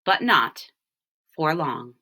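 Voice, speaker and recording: A woman reading a children's storybook aloud, with expressive, deliberate phrasing and short explanations. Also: low voice. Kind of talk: read-aloud storytelling with brief picture explanations.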